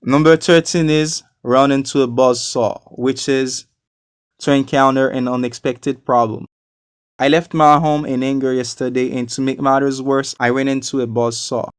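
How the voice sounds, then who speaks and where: A man from Haiti, a non-native English speaker, giving a scripted English lesson with deliberate, explanatory enunciation. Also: mid-range voice, steady tone, clean tone, no room sound, studio quality. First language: not a native English speaker. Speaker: English teacher. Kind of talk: scripted educational narration.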